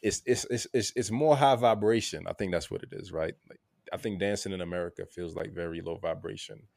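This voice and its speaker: A man co-hosting a podcast in a casual, reflective way, halting and repeating words, often checking in with "right?". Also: darkish tone, no room sound, clean studio sound. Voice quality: gravelly low voice. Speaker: podcast co-host.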